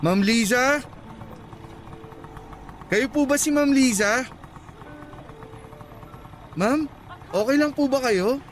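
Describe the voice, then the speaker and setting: A polite young rider, very high voice. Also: male voice, slow pace, long pauses, faint music behind. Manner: courteous, deferential. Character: polite young male motorcycle taxi rider. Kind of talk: radio drama acting.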